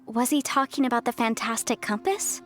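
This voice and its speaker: A woman's voice: fairly high pitch, normal speed, soft background music, close to an everyday voice. A woman playing an eager, earnest, inquisitive young woman adventurer in a video game. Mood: curious. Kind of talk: video game character acting.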